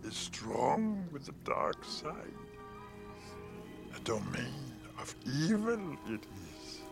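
Creaky, ancient little alien sage. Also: male voice, medium pitch, sing-song, over music, full character voice. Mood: grave. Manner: inverted word order, slow deliberate teaching. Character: tiny ancient alien master, wise, stern, cryptic. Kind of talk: radio drama acting.

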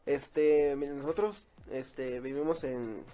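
A man's voice: mid-range voice, fairly even intonation, takes it slowly, mellow. A man, chatting casually as an interview guest. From Mexico. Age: 20s. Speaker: interview guest, circus clown.

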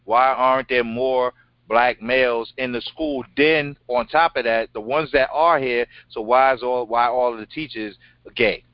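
A middle-aged man with a deep voice co-hosting a casual show, talking in an emphatic, slangy way and repeating his phrases. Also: measured pace, no room sound, muffled recording. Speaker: show co-host. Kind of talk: casual, unscripted conversation.

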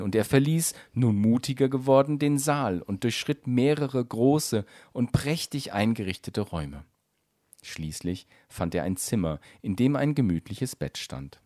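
A man reading a fairy tale aloud as an expressive, scripted storybook narration. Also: fairly low pitch, no echo, clean studio sound.

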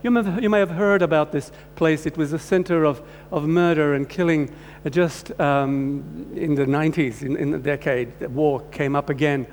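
A man's voice: fairly high pitch, fairly even intonation. Man preaching in an echoing church. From Croatia. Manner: halting, warm-hearted, many restarts. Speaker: guest speaker. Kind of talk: personal testimony sermon. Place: church hall. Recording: long hall reverb, faint hum.

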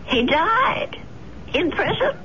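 A woman's voice: very high-pitched, sing-song, slow, sharp tone, full character voice. An actress playing a troubled, earnest landlady, hesitant and fretful, in an old radio mystery. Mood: troubled, unsure. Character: troubled, earnest older landlady with a nagging conscience. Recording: muffled, noisy vintage radio recording.

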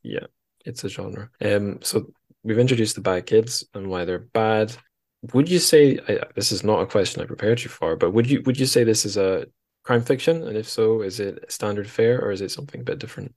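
A man's voice: on the low side, a little fast, darkish tone. A man hosting a casual interview, thinking aloud with tentative phrasing and dry humour. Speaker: podcast host.

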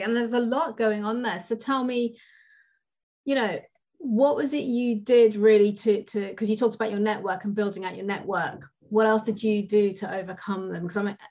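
A woman's voice: mid-range voice, darkish tone. A woman hosting a podcast interview, welcoming her guest and asking encouraging questions. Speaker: podcast host. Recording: slightly dull, lo-fi sound.

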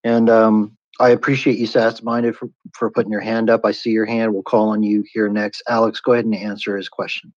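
A male host moderates a live audio chat in a polite but firm way. His somewhat low voice comes through dull and lo-fi, and the sound is dry. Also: little pitch movement, a little fast, few pauses, a little mellow, no echo.

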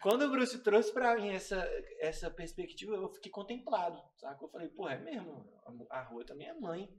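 A man's voice: high-pitched, big pitch swings, normal speed, far from the mic. A man from Brazil, a band member, telling stories in a laid-back, slangy way in a casual podcast interview. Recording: echoey room, off-mic.

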